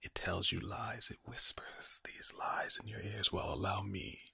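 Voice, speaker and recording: Low, breathy whispering ASMR man. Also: bright, forceful tone, no echo. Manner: slow, repetitive, soothing address to the listener. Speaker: ASMR creator speaking to the listener.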